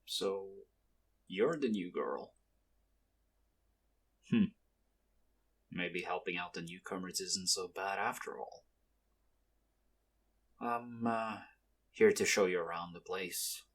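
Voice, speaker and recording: Man playing a slow, low-voiced, gentle mafia heir. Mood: shyly flirtatious.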